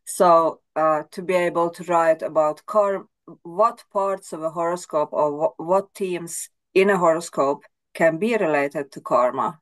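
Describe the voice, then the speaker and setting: Casting: woman guest, low voice, native Swedish speaker, measured and hesitant. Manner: thoughtful, hesitant with restarts. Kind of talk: casual interview.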